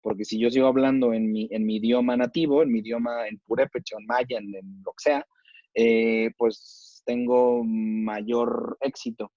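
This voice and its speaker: A man's voice: medium pitch, steady tone, a little slow, dark tone, dry recording, highs cut off. A man with a Mexican accent, a chef debating in a casual, slangy way and using plenty of rhetorical questions.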